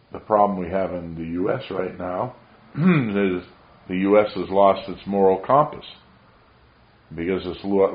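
A very low-pitched man preaches in an earnest, rambling way, his carrying voice ringing around a roomy space. The recording sounds muffled and dull. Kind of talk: sermon.

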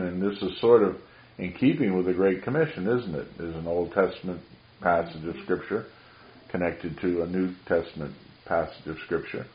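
A very low-pitched man preaches in an earnest, rambling way, his carrying voice ringing around a roomy space. The recording sounds muffled and dull. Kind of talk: sermon.

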